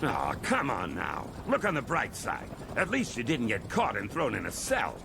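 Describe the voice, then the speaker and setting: A man voicing a blustery cartoon crocodile who is a loud, gravelly detective boss. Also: medium pitch, huge pitch swings, moderate pace, background noise. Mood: encouraging. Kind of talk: animated audio drama acting.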